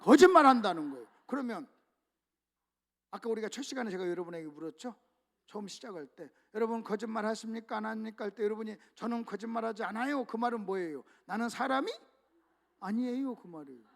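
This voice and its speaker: A man preaching a sermon to a church congregation, leading them in call-and-response reading and swinging between hushed asides and loud emphatic exclamations. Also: sits high, lively intonation, fast, creaky. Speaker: pastor. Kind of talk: sermon at a revival meeting. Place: church sanctuary.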